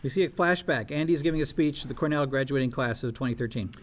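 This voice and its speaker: A man's voice: mid-range voice, fast talker. A man reading screenplay stage directions aloud at a table read.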